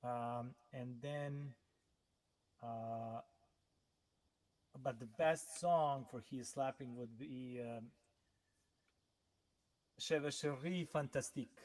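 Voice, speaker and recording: A man from Serbia hosts a relaxed livestream chat at an everyday middle pitch. He talks in a halting way, with plenty of fillers and false starts. His first language is Serbian. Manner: halting, many restarts and fillers. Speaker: host.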